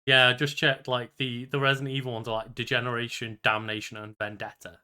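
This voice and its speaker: A man's voice: medium pitch, flat delivery, fast, pushed and bright, smooth. A man co-hosting a podcast, talking informally and conversationally. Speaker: podcast co-host. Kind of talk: casual podcast chat.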